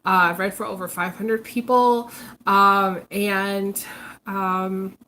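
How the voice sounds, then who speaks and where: Congested woman, halting live-stream chat. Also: medium pitch, level tone, pushed and bright, rough recording. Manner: hesitant, many ums and restarts. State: congested, recovering from illness. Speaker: psychic reader, channel host. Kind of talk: casual live-stream monologue.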